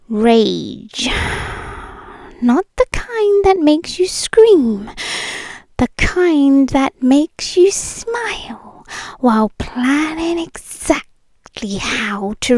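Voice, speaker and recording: A British woman playing a possessive, wealthy, menacingly sweet yandere in an intimate ASMR roleplay, drifting between soft deliberate speech and breathy whispers. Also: sits high, no room sound, full character voice. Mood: quietly menacing. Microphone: close microphone, dry.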